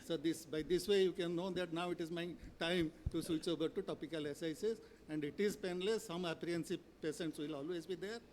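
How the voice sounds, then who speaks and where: A man's voice: fairly high pitch, steady tone, fairly quick, few pauses, a little mellow, slight background noise. A man, an eye surgeon on a panel giving insistent advice. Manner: insistent, advising.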